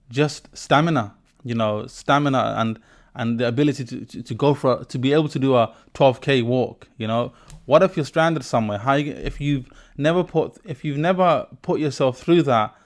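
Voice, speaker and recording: Thoughtful male podcast host. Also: mid-range voice. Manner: reflective, thoughtful conversational delivery. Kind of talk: podcast interview and sponsor read.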